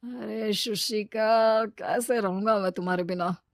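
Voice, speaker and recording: A woman voicing an arrogant, fickle husband, boastful and wheedling, in an animated story. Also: mid-range voice, a little slow, no echo, clean studio sound, close to an everyday voice. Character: arrogant, fickle, money-proud husband, easily smitten.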